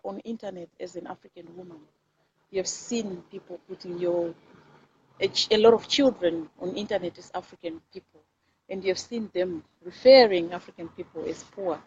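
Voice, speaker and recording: A muffled, low-fidelity recording with noticeable background noise carries a woman with a Zimbabwean accent. She hosts an informal motivational talk, addressing viewers directly and emphatically. She often repeats a phrase to drive it home. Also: a little mellow. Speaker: host of live videos. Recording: dull lo-fi audio with clear background noise.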